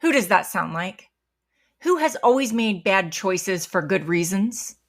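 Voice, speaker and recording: A woman's voice: medium pitch, animated, unhurried. A woman playing a protective, loving but stern mother in a naturalistic audio drama. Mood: tender.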